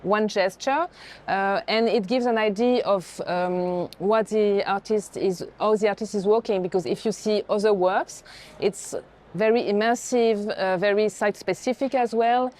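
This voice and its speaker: A woman's voice: medium pitch, steady tone, moderate pace, a little mellow. A woman speaking as a senior curator in reflective, conversational commentary on art. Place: indoor art fair. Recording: faint fair background noise.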